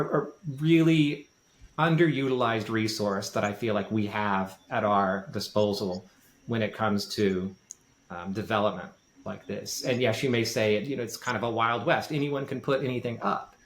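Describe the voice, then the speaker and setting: A man giving informal, explanatory podcast advice in a light voice without much bass. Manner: informal, self-deprecating. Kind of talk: casual explanatory podcast talk. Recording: roomy, echoey sound.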